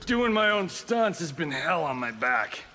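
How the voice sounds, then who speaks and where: A man's voice: fairly high pitch, big pitch swings, unhurried, sharp tone, in a large hall, a little hiss or hum, exaggerated voice. A man playing a vain, flippant action movie star who teases glibly in fighting game banter. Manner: glib, teasing. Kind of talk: video game character banter.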